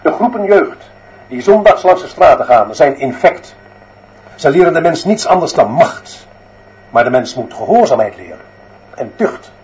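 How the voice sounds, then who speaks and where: An actor playing a stern, dictatorial school director in a radio drama, declaiming in emphatic, measured phrases. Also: male voice, on the high side, big pitch swings, darkish tone. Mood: cold, doctrinaire. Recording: slightly dull, muffled sound.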